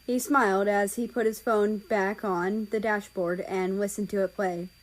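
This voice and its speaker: A woman's voice: mid-range voice, fairly even intonation, unhurried, low-quality recording. A woman narrating a written story aloud, reading out the prose and the dialogue tags. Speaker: fan fiction reader.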